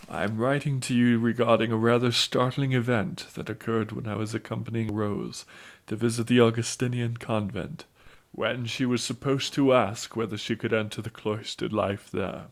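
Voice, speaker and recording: A man's voice: mid-range voice, fairly even intonation, normal speed, creaky, lightly acted. A man playing a courteous priest and narrating an audio drama. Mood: courteous, measured.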